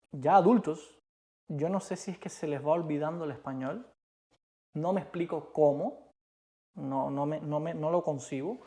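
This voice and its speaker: A man from Cuba with a Cuban Spanish accent, co-hosting a casual chat in an emphatic, animated way. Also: a little high. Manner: emphatic, animated, repeats rhetorical questions. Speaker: co-host.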